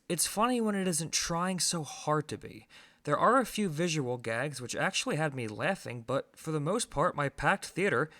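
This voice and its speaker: A man's voice: fairly high pitch, bright, forceful tone. A man giving a scripted film review as host, conversational and opinionated, with emphatic stresses.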